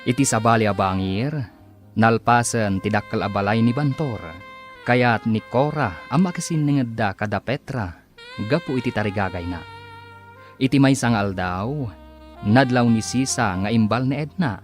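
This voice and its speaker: A man's voice: mid-range voice, moderate pace. A man with an Ilocano accent narrating a radio serial as its announcer in a dramatic, scripted style. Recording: music under the voice. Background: music.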